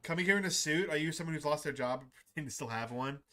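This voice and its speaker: Male let's play host, slightly high voice, halting casual read-alouds and muttered reactions, clear noise behind him. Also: fairly bright tone. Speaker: gaming video host. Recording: clear background noise under the voice.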